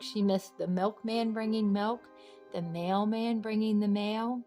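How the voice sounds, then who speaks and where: A woman reading a children's storybook aloud in an encouraging way, pausing to ask young listeners simple questions. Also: medium pitch, a little mellow. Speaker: children's book read-aloud host.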